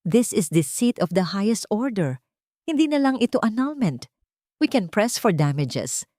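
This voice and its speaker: A woman's voice: mid-range voice, big pitch swings, normal speed, mellow, no room sound, studio quality, voice pushed up, lightly acted. A woman with a Filipino accent voicing a serious, coldly determined male lawyer in an audio story, speaking emphatically. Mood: stern, emphatic. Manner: emphatic, stern. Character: serious male attorney, cold, determined ally.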